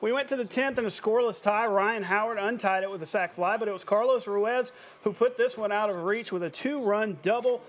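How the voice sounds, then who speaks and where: A man anchoring a television sportscast in a playful, conversational way, reading highlights and bantering with a co-host. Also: brisk, few pauses. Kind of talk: upbeat scripted sportscast narration with banter.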